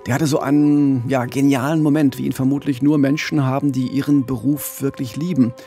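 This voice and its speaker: Man, forties, podcast host, gravelly voice, conversational crime storytelling, studio microphone. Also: medium pitch, fairly even intonation, fairly quick, warm tone, soft background music. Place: radio studio. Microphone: close studio microphone with pop filter.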